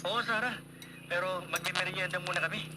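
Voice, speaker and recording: An actor playing a friendly, easygoing young college student in a radio drama, on a noisy recording. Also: male voice, high-pitched, steady tone, brisk, natural voice. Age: young adult. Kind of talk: Philippine radio drama acting.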